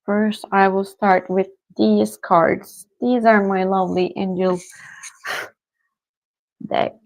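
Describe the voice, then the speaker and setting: Slow-talking woman streamer. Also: mid-range voice, vocal fry, no echo, lo-fi sound. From Turkey. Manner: slow, relaxed, chatty with viewers, soft laughs. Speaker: tarot reader streaming live. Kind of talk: casual livestream chat with viewers.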